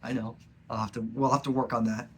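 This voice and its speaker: A young man with a cold and a stuffy nose, talking earnestly and haltingly in a personal reflective monologue. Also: mid-range voice, little pitch movement, slightly rough. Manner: earnest, halting, with frequent pauses. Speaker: vlogger.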